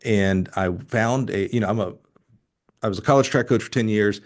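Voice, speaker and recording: A middle-aged man from Alabama hosting a casual interview, talking in an effusive, halting way and often restarting his thoughts. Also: lowish voice. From Alabama, United States. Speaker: podcast host.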